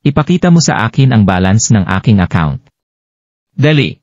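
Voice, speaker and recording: A man's voice: lowish voice, very animated, normal speed, a little mellow, no echo. A Filipino man voicing a sly, eager young husband in a narrated story, confident and teasing. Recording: dull lo-fi sound.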